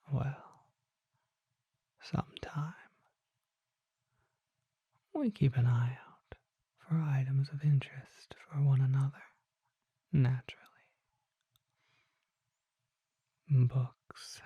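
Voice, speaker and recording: A performer voicing a courteous, curious old vampire shopkeeper in a breathy, intimate ASMR roleplay, mostly in whispers, with genteel phrasing. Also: medium pitch, no echo, lo-fi sound, full character voice. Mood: fond, musing. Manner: slow, genteel, formal phrasing.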